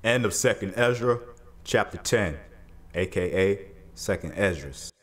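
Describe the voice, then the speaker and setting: A man narrating dramatized scripture in a solemn, measured storytelling manner. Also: fairly low pitch, lively intonation, a bit bright.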